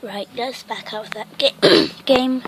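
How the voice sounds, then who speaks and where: Female game host, croaky from a cough, rambling delivery, fairly high pitch, music underneath. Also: slow. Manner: rambling, lots of "like" fillers. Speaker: gaming channel host. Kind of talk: casual gameplay commentary.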